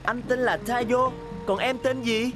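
Cheerful boy bus as a woman dubs him, bright and kind, a bit high, music under it. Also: fairly even intonation, a little slow, lightly acted. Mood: friendly. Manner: bright and kind, big-brotherly. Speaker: cartoon character. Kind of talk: children's cartoon dubbing. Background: cartoon music.

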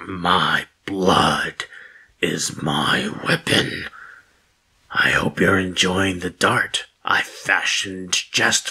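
Slow, raspy, sadistic villain. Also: male voice, low voice, pushed and bright, heavily acted. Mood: gloating. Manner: slow, menacing, mocking. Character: sadistic, gloating male Kataru killer savouring his prey. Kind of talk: audio drama acting.

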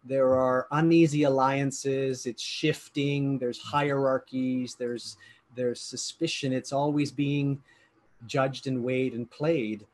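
A man in his fifties hosting an interview over a video call with a headset, earnest and halting with restarts. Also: mid-range voice, fairly even intonation, a little mellow. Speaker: host. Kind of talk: interview questions. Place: home study with bookshelves.

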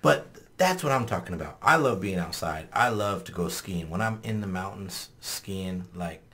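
Bright-voiced, profane man chatting. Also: deep voice, animated, normal speed. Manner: profane, laughs loudly. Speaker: podcast host. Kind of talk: casual chat. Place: small podcast studio. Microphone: studio mic on boom arm.

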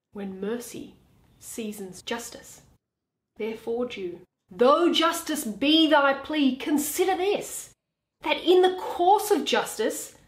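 A woman as an earnest young lawyer, slow and measured. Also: fairly high pitch. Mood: pleading, grave. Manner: deliberate, persuasive. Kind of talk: dramatic Shakespeare reading. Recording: echoey bare room.

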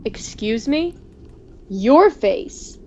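Smug, indignant visual novel guy, played by a woman, higher pitch, slightly muffled. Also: animated, very slow, warm tone. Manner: haughty, accusing, dramatic. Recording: muffled, noisy home recording.

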